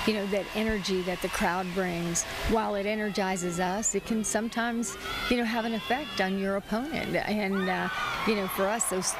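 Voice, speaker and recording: Woman coach over clear background noise. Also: mid-range voice. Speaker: college gymnastics coach. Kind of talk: interview soundbite. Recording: clear ambient noise behind the voice.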